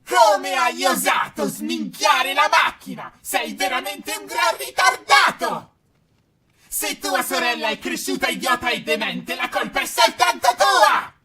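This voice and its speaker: A woman's voice: sits high, expressive, a little slow, bright, forceful tone, shouting, faint music behind, full character voice. A woman playing a furious, vulgar scolding mother in an amateur parody. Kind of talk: amateur film parody, shouted rant.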